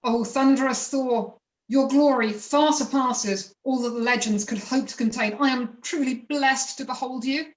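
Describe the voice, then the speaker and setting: A woman's voice: sits high, moderate pace, recorded from a distance, close to an everyday voice. A woman playing an awestruck, anxious peasant host with reverent, florid speech in an amateur myth play. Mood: awed. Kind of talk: amateur dramatic reading of a myth. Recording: echoey room.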